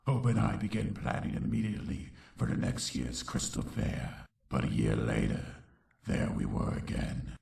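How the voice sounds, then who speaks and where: A grim unicorn stallion narrating his own dark past. The voice is extremely deep and heavy with gravel, brooding and dramatic, swinging in pitch. It sounds roomy and set back from the mic, like an audio drama. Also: sing-song, moderate pace, full character voice. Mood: sorrowful. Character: grim adult male unicorn recounting his troubled youth. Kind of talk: dramatic first-person story narration. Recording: roomy echo, distant voice.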